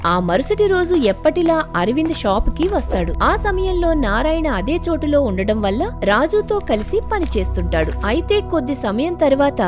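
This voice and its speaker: High-pitched, lively woman storyteller. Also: normal speed. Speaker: narrator. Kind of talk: scripted moral story narration. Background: background music.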